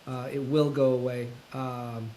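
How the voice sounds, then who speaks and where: A man's voice: medium pitch, level tone, measured pace, warm tone, slight background noise. A man in his fifties, a guest in a casual interview, speaking thoughtfully and hesitantly with many fillers and restarts. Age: 50s. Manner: self-described introvert. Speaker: guest, full-time content creator.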